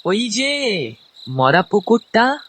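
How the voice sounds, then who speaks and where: Man as village uncle, stubborn, affectionate, colloquial, animated intonation, unhurried, cartoon drama. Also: high-pitched, slightly rough, exaggerated voice. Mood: persuasive. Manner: colloquial, expressive.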